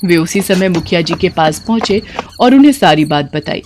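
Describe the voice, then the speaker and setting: A woman's voice: on the low side, expressive, moderate pace, a little mellow, slight background noise. A woman telling a scripted dramatic story as its narrator.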